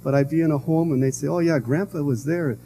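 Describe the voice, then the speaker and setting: An older man giving a public lecture, speaking softly with frequent pauses and fillers. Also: fairly high pitch, a little hiss or hum. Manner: soft-spoken, halting with many fillers. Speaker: guest lecturer. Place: lecture hall. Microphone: podium microphone.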